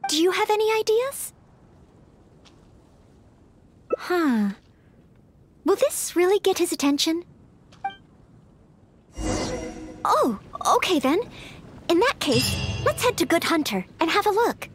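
Young maid knight, anime game: very high, lively intonation, polite, hesitant, eager to help. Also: female voice, normal speed, over music. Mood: pondering. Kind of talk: anime-style video game dialogue.

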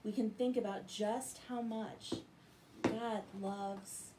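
A woman's voice: medium pitch, fairly even intonation, takes it slowly, noisy background. A middle-aged American woman reading and talking to children in a bedtime-story style, recorded at home on a device a short way off. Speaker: children's Bible story reader. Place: living room at home, indoors.

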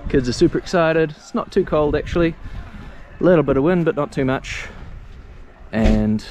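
A man, narrating a family travel vlog in a relaxed, upbeat way, recorded outdoors on an action camera. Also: fairly high pitch, normal speed. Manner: relaxed, upbeat, explaining as he goes. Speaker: travel vlogger and father. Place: outdoors on a ski field chairlift. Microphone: action camera at arm's length. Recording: loud wind noise outdoors.

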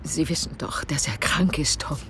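An actress playing a nervous, evasive, frightened wife in a radio drama, hesitant and hushed. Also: female voice, deep voice, expressive, normal speed, whispered. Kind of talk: German radio drama acting.

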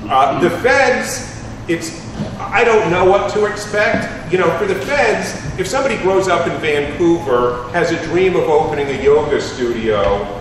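A man's voice: fairly high pitch, animated, unhurried. A man, speaking on a discussion panel in a dry, analytical way with many fillers. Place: large room with panel table. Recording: echoey room from far off, noisy.

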